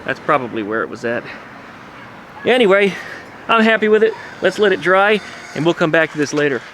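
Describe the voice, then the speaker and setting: A man casually showing his car restoration work outdoors by his garage, chatty and relaxed, with lots of fillers. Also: a little high, fairly quick, a little hiss or hum. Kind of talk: casual workshop vlog.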